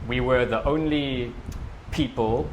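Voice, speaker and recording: A man's voice: medium pitch, slow, background noise. A young man from Africa, a teacher and scout leader, answering interview questions in short, plain sentences. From South Africa. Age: young adult. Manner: plain, short sentences with lots of agreeing yeahs. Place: beach outdoors.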